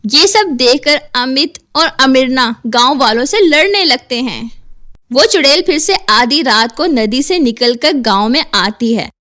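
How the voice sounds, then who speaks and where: A woman reading scripted narration for an animated horror storybook tale. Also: sits high, a little slow.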